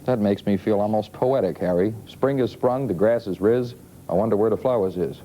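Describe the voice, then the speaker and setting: A man's voice: lowish voice, fairly quick, noisy background. An American man reading the news as a broadcast anchor.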